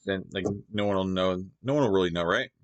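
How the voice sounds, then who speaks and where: A man's voice: low voice, fast talker, no room sound, dull audio. A man hosting a reaction video, chatting casually and rambling with many fillers.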